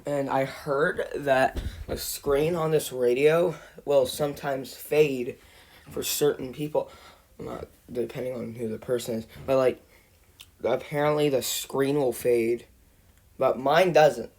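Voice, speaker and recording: A man's voice: medium pitch, steady tone, takes it slowly, a little mellow. A man giving casual, off-the-cuff commentary for his own video in an informal, offhand way. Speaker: amateur video maker.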